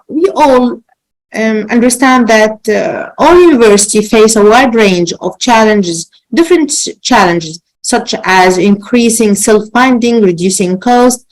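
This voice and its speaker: Egyptian woman presenting, careful and halting. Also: a little high, poor audio. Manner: careful, halting, repeats words. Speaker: associate professor, presenter. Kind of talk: academic conference presentation. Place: virtual conference call.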